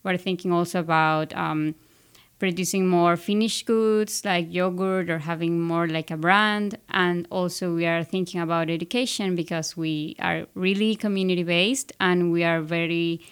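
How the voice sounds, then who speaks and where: Woman with a very clear voice. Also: fairly low pitch, normal speed. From Ecuador. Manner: earnest, steady explaining. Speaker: graduate student guest. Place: radio studio.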